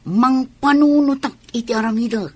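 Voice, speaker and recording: Radio drama role: friendly, forward village woman played by an actress, high-pitched and a bit husky, Ilocano accent. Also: normal speed, no echo, lightly acted. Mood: earnest.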